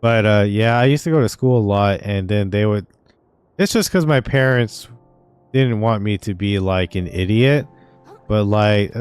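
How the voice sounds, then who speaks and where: Low-voiced young man rambling casually. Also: a little mellow, smooth. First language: Vietnamese. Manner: rambling, filler-heavy storytelling. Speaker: streamer. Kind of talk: casual gaming stream chat. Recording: faint game music behind.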